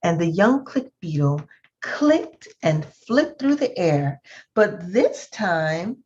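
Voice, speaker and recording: A woman reading a children's story aloud expressively, with drawn-out emphasis. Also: fairly low pitch, big pitch swings, a little mellow. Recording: slightly dull, lo-fi sound.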